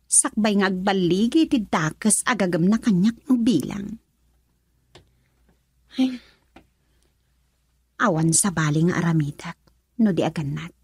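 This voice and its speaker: A woman's voice: lowish voice, animated, measured pace, pauses often, darkish tone, breathy edge, no room sound, studio quality, full character voice. An actress voicing a worried young woman brooding in an anxious inner monologue in a radio drama. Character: worried young woman fearing a man's intentions.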